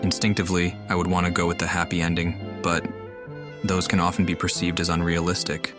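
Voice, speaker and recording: A man with a low voice comments on a video game as he plays. His intonation stays flat and measured while he muses. Game music is clearly heard behind him. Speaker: game streamer. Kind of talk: reading along and commenting on a game.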